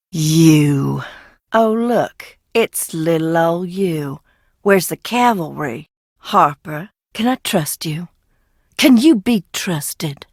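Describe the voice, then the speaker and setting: A woman playing an eccentric, unbalanced recluse in a video game, speaking theatrically and cryptically with dramatic pauses and a darkly playful edge. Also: fairly low pitch, dry recording, studio quality, full character voice. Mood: wary, taunting. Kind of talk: video game character dialogue.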